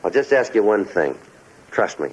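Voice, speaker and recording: An actor playing a calm, persistent police detective, terse and earnestly persuasive with a husky voice, in a 1950s radio drama. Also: male voice, lowish voice, moderate pace. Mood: earnest, urgent. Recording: muffled 1950s radio recording.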